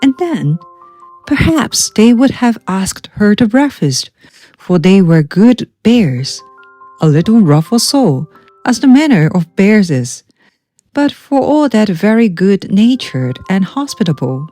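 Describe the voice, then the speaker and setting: A woman storyteller reading a children's storybook aloud in an expressive, measured way, from a script. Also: mid-range voice, no room sound, soft background music.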